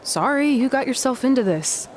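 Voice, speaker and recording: A woman's voice: high-pitched, animated, moderate pace, darkish tone, a little hiss or hum. Blunt, unimpressed woman. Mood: blunt, dry. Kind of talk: video game cutscene acting.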